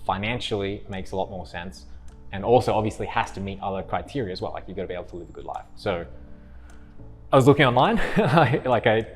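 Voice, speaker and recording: A young Australian man, a guest in a casual interview, talking in a relaxed, rambling way with restarts. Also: fairly low pitch, fast, breathy edge, faint music behind.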